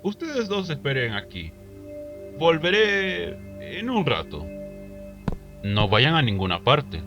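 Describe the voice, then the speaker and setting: Man acting animated monster storyteller, dull recording. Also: on the high side, takes it slowly, heavily acted. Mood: hesitant, responsible. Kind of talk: Spanish fandub voice acting. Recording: dull lo-fi audio, music behind.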